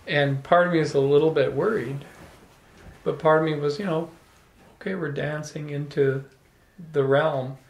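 Gravelly man telling a story in an echoey room. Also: fairly high pitch, moderate pace. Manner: animated, playful storytelling with laughs. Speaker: salon speaker. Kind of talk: storytelling to a small audience. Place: room with small live audience. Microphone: handheld microphone.

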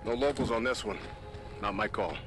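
An actor playing a brash, foul-mouthed American Marine sergeant in an action video game. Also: male voice, lowish voice, normal speed, over music, lightly acted. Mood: gruff. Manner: brash, barking slang. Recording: game audio with very loud noise.

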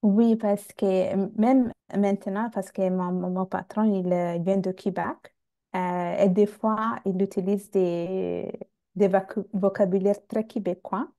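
Young woman, Italian native, slow careful speech, mellow tone, restarts her words, video call earphone mic. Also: mid-range voice, steady tone. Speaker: guest. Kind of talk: casual chat. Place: home room.

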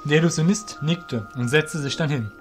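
A man narrating a scripted audio drama as storyteller, in a measured, literary reading manner. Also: on the high side, faint music behind.

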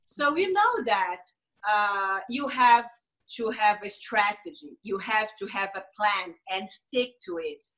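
A woman with a Brazilian Portuguese accent, a lawyer and coach, speaking carefully in an interview, with many pauses and fillers. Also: mid-range voice, expressive, fairly bright tone, low-quality recording. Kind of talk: interview over video call.

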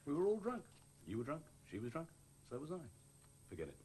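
An actor playing a coolly menacing husband with a sarcastic politeness, with slight background noise. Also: male voice, fairly high pitch, wildly swooping pitch, brisk, dark tone. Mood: dismissive. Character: coolly menacing husband making a calm threat.

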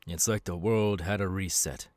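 A man voicing a gentle, melancholy demon who was once human, in a measured, reflective ASMR roleplay with pauses. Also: deep voice, steady tone, no room sound, natural voice. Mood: calm, wistful. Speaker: voice actor. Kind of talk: ASMR audio roleplay, slow intimate acting.

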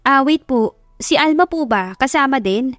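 Lady doing eager young working girl in a radio drama, high voice, polite n bubbly, music behind. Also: expressive, a little slow, fairly bright tone, no echo, studio quality. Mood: polite, curious. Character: young working woman, eager, respectful, easily flustered. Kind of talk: Tagalog audio drama acting.

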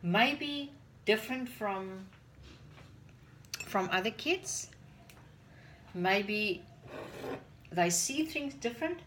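A woman's voice: mid-range voice, animated, extremely slow, long pauses, a bit bright, rough recording. Woman, forties, native afrikaans speaker, earnest and searching for words, home kitchen, phone camera, faint noise. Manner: earnest, repeats words while searching for them; moving about while doing chores. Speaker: parent vlogger. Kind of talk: casual talk to camera. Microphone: phone camera on the counter.

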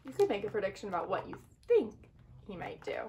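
A young woman reading a children's picture book aloud at home in an expressive, clearly phrased way. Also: mid-range voice, fast, slight background noise. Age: young adult. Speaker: story-time reader. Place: small living room at home, indoors.

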